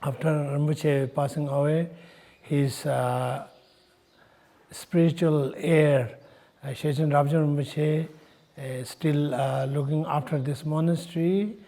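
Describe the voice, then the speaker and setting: A man speaks English as an in an unhurried, gravelly voice with a soft, dark edge. He explains things off the cuff as a senior monk, often halting to find the next word. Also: fairly high pitch, fairly even intonation. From Nepal. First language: not English. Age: 60s. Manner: halting, searching for words, frequent pauses. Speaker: Buddhist monk in charge of a monastery. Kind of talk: unscripted explanatory talk.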